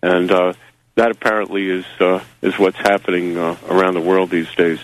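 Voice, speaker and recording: Low-voiced man, halting and wry. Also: level tone, slight background noise, low-quality recording. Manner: halting, heavy with fillers and wry asides. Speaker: magazine publisher and interview guest. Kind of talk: rambling radio interview answers. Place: New Zealand.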